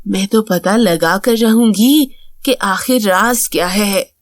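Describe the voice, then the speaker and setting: A woman's voice: mid-range voice, slow pace, dry recording, studio quality, lightly acted. A woman voicing a cunning, nosy, greedy neighbour in a children's cartoon, prying and exclaiming in an exaggerated way. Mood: nosy, sly. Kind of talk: Hindi children's cartoon voice acting.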